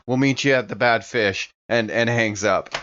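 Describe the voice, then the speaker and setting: A man's voice: medium pitch, normal speed, fairly bright tone, highs cut off, a little hiss or hum. A man playing a tabletop role-playing game, describing his character's actions in casual, improvised talk.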